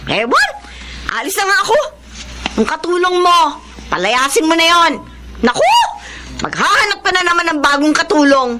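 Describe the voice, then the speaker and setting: A woman's voice: very high-pitched, animated, moderate pace, long pauses, background noise. A woman acting a huffy, whiny, petulant spendthrift lady in a radio drama.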